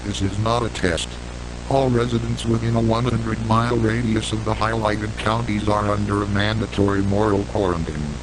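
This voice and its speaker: Stern low-voiced man, noisy emergency broadcast. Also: little pitch movement, moderate pace. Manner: stern, formal, authoritative. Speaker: emergency announcer. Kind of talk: scripted emergency broadcast announcement. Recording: noisy hum and hiss, retro broadcast.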